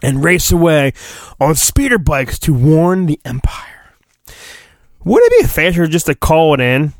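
A man's voice: a little high, huge pitch swings, unhurried, no echo. A man hosting solo, reading a storybook in mock-dramatic fashion with rambling, sarcastic asides. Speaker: solo podcast host.

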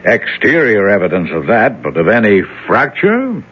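An actor playing a curt, sarcastic senior doctor who gives orders briskly. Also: male voice, lowish voice, huge pitch swings, slightly rough, exaggerated voice. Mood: skeptical. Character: brusque, skeptical senior male doctor. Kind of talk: 1970s radio drama acting. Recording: dull lo-fi vintage radio recording.

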